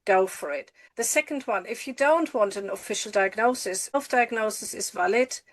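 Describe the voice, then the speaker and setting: A woman's voice: mid-range voice, normal speed. A middle-aged woman from Germany, giving earnest advice as a podcast guest. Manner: encouraging, emphatic, repeats herself for stress.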